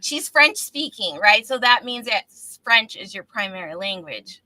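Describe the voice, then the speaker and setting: A woman's voice: medium pitch, expressive, moderate pace, sharp tone, no room sound, rough recording. A woman hosting a casual live-streamed interview, speaking in an encouraging, affirming manner. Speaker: talk show host.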